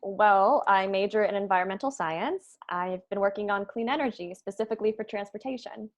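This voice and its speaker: A woman's voice: mid-range voice, fairly quick, highs cut off, close to an everyday voice. A woman playing a brainy, earnest young college student in a comedy table read.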